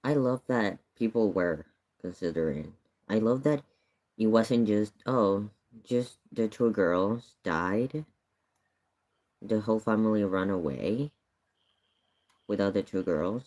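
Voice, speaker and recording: Guy reacting on stream, kinda low voice, rambly and chatty. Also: slow pace, a little mellow. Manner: chatty, thinks aloud, repeats himself. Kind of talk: casual live-stream reaction chat.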